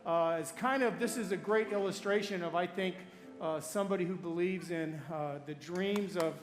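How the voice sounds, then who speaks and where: A man serving as pastor, introducing a guest at a church service in a halting way, with many fillers. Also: high-pitched. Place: church sanctuary. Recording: echoey hall with crowd behind.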